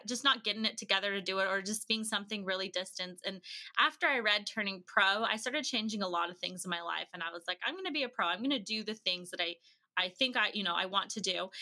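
A woman telling personal stories casually as a podcast guest, chatty and quick to laugh. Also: mid-range voice, sharp tone. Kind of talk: casual anecdotal storytelling in an interview.

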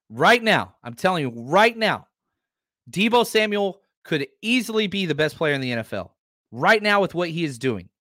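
A man in his forties with a high, lively voice that swings up and down, hosting a sports podcast. He speaks emphatically and drives his points home by repeating himself. The sound is dry and close. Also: dry recording. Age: 40s. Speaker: podcast host.

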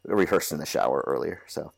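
A man's voice: very deep voice, lively intonation, brisk, vocal fry. A nasal man with a cold, hosting a podcast in a loose, rambling chat full of fillers. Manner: rambling, many fillers and restarts. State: stuffy from a cold. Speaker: podcast host. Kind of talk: casual chat.

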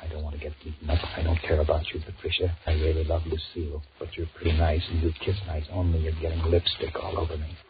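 An actor with an American accent playing a cowardly, irritable cheating husband in a 1940s radio drama, switching between muttered thoughts and halting sweet talk. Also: extremely low pitch, monotone, over music. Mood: resentful, torn. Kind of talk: 1940s radio horror acting with inner monologue. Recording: muffled, noisy 1940s radio recording.

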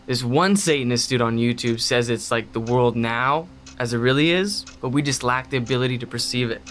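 A man acts a slangy stoner roommate in a horror audio drama. He rambles in a laid-back, eager way at a middling male pitch, with faint noise and ambience behind him. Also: fairly quick, fairly bright tone, close to an everyday voice. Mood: eager explaining. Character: stoner college roommate, enthusiastic about creepy internet lore.

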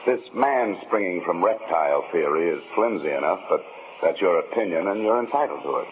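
Deep-voiced, wry radio detective. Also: male voice, lively intonation, a little fast, phone-quality sound, slight background noise. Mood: reasoning. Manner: dry, sardonic, world-weary. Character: wry, hard-boiled male insurance investigator. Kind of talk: 1950s radio drama acting and noir narration. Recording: thin, band-limited old radio broadcast.

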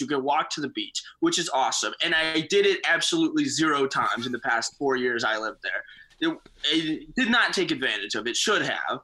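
A young man from the United States, a guest in a casual chat, joking with deadpan sarcasm and laughing at himself. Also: on the high side, poor audio.

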